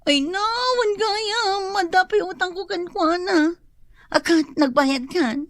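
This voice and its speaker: A woman's voice: very high-pitched, takes it slowly, no room sound, studio quality, exaggerated voice. A woman playing a vain, status-conscious younger sister in an Ilocano radio comedy-drama, with an affected, whiny manner. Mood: worried.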